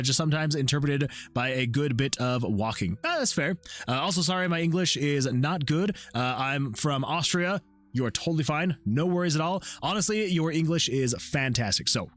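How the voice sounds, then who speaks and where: A man's voice: on the high side, a bit bright. A man streaming his indie game development, chatting in a loose, effusive way and often repeating his praise. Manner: effusive, rambling, repeats himself. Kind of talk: casual livestream chat.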